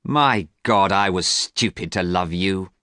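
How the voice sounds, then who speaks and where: A man voicing a proud young gentleman, bitter and wounded in love, in a storybook reading. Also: low-pitched, slow, clean tone, dry recording, studio quality, full character voice. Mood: cold anger.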